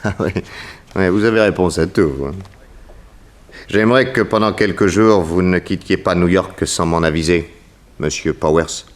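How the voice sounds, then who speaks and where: An actor playing a shrewd, suave private detective with an ironic, insistent way of questioning in a 1960s French radio drama. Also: male voice, low voice, fairly even intonation, normal speed, lightly acted. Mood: shrewd, composed.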